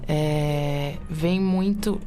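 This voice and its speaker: A woman's voice: deep voice, extremely slow, warm tone, faint music behind. A woman singer-songwriter answering interview questions on the radio in a thoughtful, hesitant way with many fillers.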